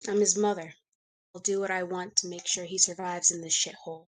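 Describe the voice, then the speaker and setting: A woman's voice: on the low side, fairly even intonation, a little fast, lightly acted. A woman playing a ruthless, controlling wife and mother in a crime family, commanding and insistent, at a script table read. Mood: cold, insistent.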